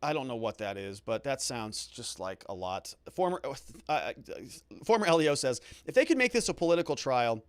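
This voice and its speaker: A man's voice: medium pitch, big pitch swings, a little fast, clear, resonant tone, dry recording. A middle-aged American man hosting a livestream, reading out viewer comments and adding wry, conversational commentary. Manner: wry, conversational, joking asides. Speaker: livestream host and legal commentator.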